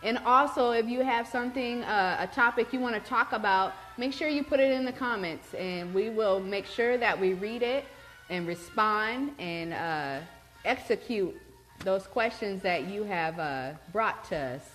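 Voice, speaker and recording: A woman's voice: mid-range voice, a little slow, a bit bright, faint music behind. A woman hosting a casual talk show, upbeat and affirming, speaking straight to her viewers. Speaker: host.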